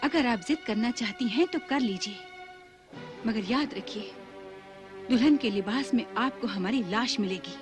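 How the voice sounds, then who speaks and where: A woman's voice: on the high side, lively intonation, unhurried, music in the background. An actress playing a proud, headstrong, romantic young noblewoman in a period serial, in a dramatic, courtly manner. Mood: defiant, pleading. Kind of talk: formal Urdu-flavoured period serial acting.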